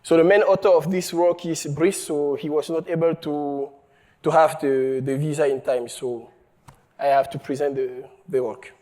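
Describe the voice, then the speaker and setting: A man from Cameroon, giving a conference talk on his research, halting often and checking in with the audience. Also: on the high side, fairly even intonation, warm tone. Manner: halting, many fillers and restarts, checks in with "okay?". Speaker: researcher presenting a paper. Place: conference hall.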